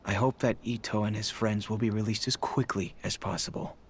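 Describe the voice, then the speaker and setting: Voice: medium pitch, steady tone, brisk, a little hiss or hum, lightly acted. An actor voicing a polite, grateful parent in a game, speaking formally.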